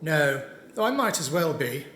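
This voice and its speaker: A woman's voice: low-pitched, very animated, moderate pace, fairly bright tone, a little hiss or hum. Woman acting wary attic recluse, echoey, swooping. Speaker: amateur actor. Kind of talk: community audio drama acting.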